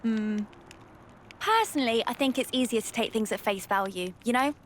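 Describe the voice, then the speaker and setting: A woman with a British accent voicing a feisty, blunt young female soldier who is quick to take offence in a video game. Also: fairly high pitch, slight background noise, lightly acted. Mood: casual, probing. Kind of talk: scripted video game voice acting.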